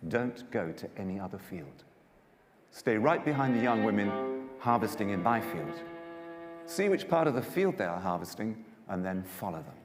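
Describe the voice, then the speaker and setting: A man playing a kindly, low-voiced landowner. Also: sing-song, moderate pace, over music. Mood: kindly, reassuring. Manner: courteous, instructing, reassuring. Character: kindly, authoritative wealthy landowner, older man. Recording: echoey hall, long reverb.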